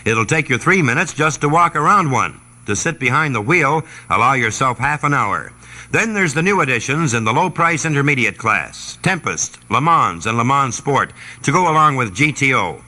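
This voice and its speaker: A man delivering a 1960s radio car commercial as a conversational sales pitch. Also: big pitch swings, moderate pace, pushed and bright. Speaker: commercial announcer.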